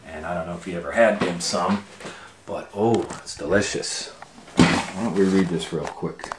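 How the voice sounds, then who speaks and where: A middle-aged man reading a picture book aloud to children in a relaxed, chatty way, sometimes dropping to a near whisper, recorded on a close camera mic at home. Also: low voice, normal speed, very noisy. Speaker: children's storytime reader. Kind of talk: casual read-aloud for kids.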